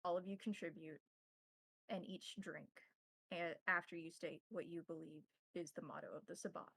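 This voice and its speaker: A woman playing a courteous vampire gardener and ritual keeper in a tabletop game, speaking in formal, measured phrases. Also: lowish voice, fairly even intonation, gravelly, fairly bright tone, dry recording, low-quality recording. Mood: solemn, instructive.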